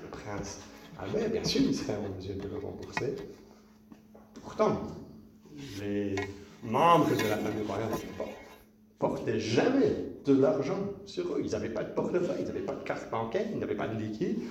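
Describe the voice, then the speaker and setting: A man giving a relaxed, chatty sermon, telling a story to the congregation in a church. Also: fairly low pitch, moderate pace, noisy background. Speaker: preacher. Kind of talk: conversational sermon, retelling a film. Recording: echoey church from a distance.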